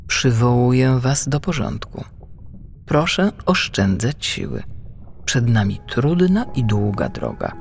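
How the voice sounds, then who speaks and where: A man's voice: medium pitch, huge pitch swings, takes it slowly, gravelly, soft background music, full character voice. A man voicing a strict, scolding mother humpback whale in a children's storybook, in a firm manner. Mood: stern.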